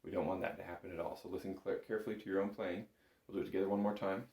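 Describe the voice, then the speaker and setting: A man's voice: low-pitched, steady tone, very fast. A man giving an informal, patient music lesson, talking learners through it step by step. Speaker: bagpipe instructor. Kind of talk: instructional music lesson to camera. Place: indoor room, echoey. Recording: echoey room.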